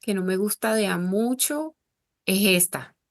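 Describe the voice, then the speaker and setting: A woman's voice: medium pitch, expressive, a little slow. Earnest Colombian woman, interview guest. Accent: Colombian Spanish. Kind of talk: casual interview conversation.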